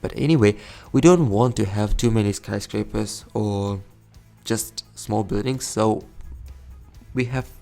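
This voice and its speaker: A man's voice: on the low side, measured pace, creaky, mellow, soft background music. A young man giving casual, rambling commentary over a city-building game, correcting himself and chuckling often. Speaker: let's play host.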